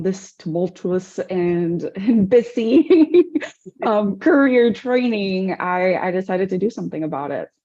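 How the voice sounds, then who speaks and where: A woman from the Dominican Republic speaks as a podcast guest, her voice low and mellow. She talks in a reflective, warm way and laughs easily. The recording sounds dull and lo-fi. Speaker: guest, veterinarian. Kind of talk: interview.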